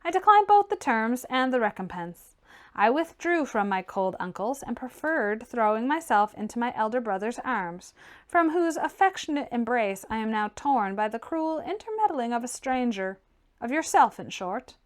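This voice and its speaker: Woman reading as a proud, sardonic young gentleman. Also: a little high, very animated, moderate pace. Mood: sardonic, curt. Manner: curt, sardonic, formal. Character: proud, dry, stubborn young gentleman clerk. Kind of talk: audiobook character reading.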